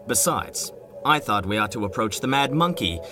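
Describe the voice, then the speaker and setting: An actor playing an impatient, contemptuous would-be world conqueror in a 1930s-style radio serial, dryly sardonic. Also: male voice, mid-range voice, lively intonation, moderate pace, music in the background, natural voice. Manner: dry, contemptuous.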